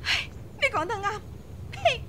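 An actress playing a fiercely loyal, fiery martial-arts wife in a Hong Kong Cantonese radio drama. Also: female voice, extremely high pitch, big pitch swings, unhurried, slight background noise, full character voice. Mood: furious, tearful. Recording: loud music behind.

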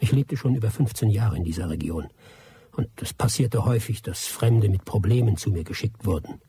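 World-weary middle-aged trader in a radio drama, really raspy low voice, dry and sceptical. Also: male voice, fairly quick, dark tone, heavily acted. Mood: reflective. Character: world-weary middle-aged English expatriate typewriter dealer, gruff, sceptical. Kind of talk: radio play narration and dialogue.

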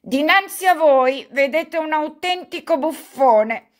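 A woman doing an old male buffoon from an audiobook, effusive and clownish. He talks loudly and at length, somewhat high in pitch. His delivery is slow and drawn out. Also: lively intonation. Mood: fawning. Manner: loud, effusive, self-mocking clowning.

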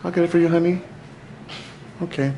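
A man's voice: a little high, steady tone, fairly quick, warm tone, dull audio, a little hiss or hum. A young man in his twenties chatting playfully to his handheld camera in a small apartment. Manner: playful, teasing, loose and jokey. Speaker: vlogger. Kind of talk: casual home vlog chat. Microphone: handheld camera at arm's length.

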